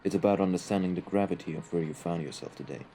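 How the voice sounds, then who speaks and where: Man playing a deep-voiced, courteous mafia henchman, music behind. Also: lightly acted. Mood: grave warning. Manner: measured, formal, eloquent, softly ominous. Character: courteous, cultured male mafia henchman, calm and menacing. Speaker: audio roleplay voice actor. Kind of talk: ASMR audio roleplay acting.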